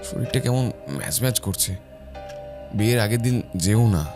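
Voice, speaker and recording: A man's voice: fairly low pitch, lively intonation, normal speed, a little mellow, faint music behind, lightly acted. A man playing a weary, easily swayed young husband in a village cartoon, grumbling and a little put-upon. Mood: reluctant, grumbling. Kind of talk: animated cartoon moral-story acting.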